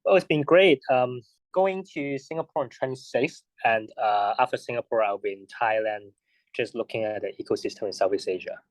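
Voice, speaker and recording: A man in his thirties from Hong Kong, a guest answering interview questions in a halting way with many restarts and fillers. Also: medium pitch, no room sound, low-quality recording. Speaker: guest, investor.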